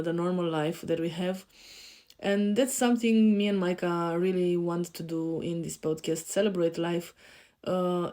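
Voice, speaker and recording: A woman in her thirties from Romania with a Romanian accent, introducing herself to camera as a podcast host and life coach, pausing often with fillers and restarts. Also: fairly low pitch, dark tone. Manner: hesitant, many fillers and restarts. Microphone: facing camera.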